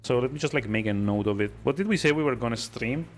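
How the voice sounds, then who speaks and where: A man's voice: mid-range voice, quick pace, faint music behind. A man giving casual live game commentary, rambling and joking as he chats to his viewers. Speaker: game streamer.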